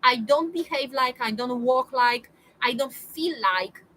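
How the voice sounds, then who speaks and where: A woman's voice: high-pitched, expressive, unhurried, a bit bright, rough recording. A woman, giving a motivational talk to her viewers and repeating phrases for emphasis. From Poland. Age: 40s. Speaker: spiritual coach.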